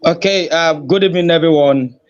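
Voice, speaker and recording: A man's voice: on the high side, fairly quick. A Nigerian-accented man introduces himself in a friendly, polite way. His voice comes through a muffled, lo-fi video call line. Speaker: youth organization director, guest. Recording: dull lo-fi call audio.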